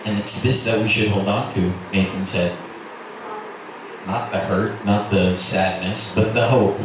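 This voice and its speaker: Guy reading a love story out loud, narrator style, really echoey and far from the mic. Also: lowish voice, steady tone, measured pace. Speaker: narrator, reader at a literary festival. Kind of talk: dramatic scripted narration of a romance novel. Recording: echoey, noisy room from far off.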